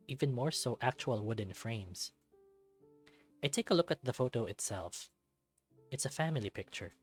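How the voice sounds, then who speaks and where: Male narrator for a visual novel, middle-pitched, scripted story read, music underneath.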